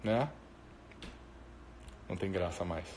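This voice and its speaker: A Brazilian man speaks English with a Brazilian Portuguese accent, Portuguese being his first language. He chats loosely through a live stream, answering viewers as thoughts come to him. He rambles and pauses often, filling gaps with plenty of hesitations. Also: low voice, a little mellow, slight background noise. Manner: rambling, hesitant with many fillers. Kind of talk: casual live-stream chat.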